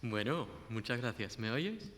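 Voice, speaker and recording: A man's voice: medium pitch, big pitch swings, measured pace, fairly bright tone, long reverb tail, a little hiss or hum. A man speaking Spanish with an English-speaking accent, a guest researcher giving a friendly conference talk with slides and questions to the audience. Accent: English-speaking learner of Spanish. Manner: friendly, asks the audience questions, some restarts.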